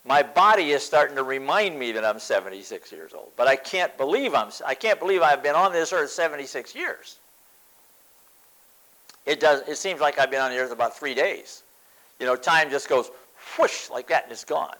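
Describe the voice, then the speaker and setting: A man's voice: mid-range voice, expressive, normal speed, bright, forceful tone, rough recording. A man in his seventies preaching a sermon, emphatic, repeating phrases for stress. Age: 70s.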